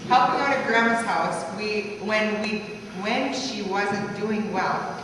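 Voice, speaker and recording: A woman's voice: medium pitch, slow pace. A woman reading a rhyming life story aloud in a sing-song way at a family gathering. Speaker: family member reading a verse tribute. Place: large echoey room at a party gathering. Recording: echoey hall from far off, background noise.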